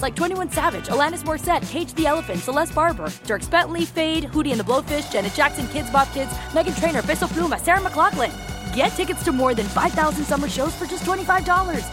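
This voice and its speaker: A woman reading a scripted concert promotion as a commercial announcer. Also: sits high. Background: music.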